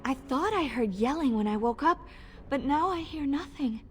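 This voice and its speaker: Casting: uneasy, freshly woken castle woman, high register, fantasy game drama under music. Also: expressive, moderate pace. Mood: uneasy, puzzled. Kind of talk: fantasy video game acting. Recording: music clearly behind.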